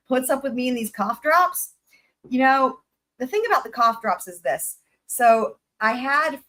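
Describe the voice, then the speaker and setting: Woman livestream host, chatty, rambly, lots of fillers, shouting out viewers, middle pitch. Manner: upbeat, gives viewers shout-outs. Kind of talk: casual chat reading viewer comments.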